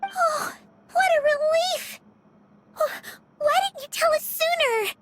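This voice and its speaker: A woman voicing a tiny, childlike companion creature in a video game, squeaky, bubbly, emotional and affectionate. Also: slow pace, very noisy. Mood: worried, tearful. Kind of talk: video game character acting. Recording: music playing behind the voice.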